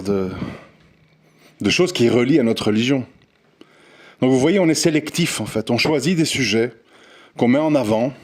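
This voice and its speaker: A man speaking at a mid pitch in an unscripted monologue. He is earnest and insistent, often stalls and repeats words before he presses his point. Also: darkish tone. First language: not Arabic. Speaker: religious commentator.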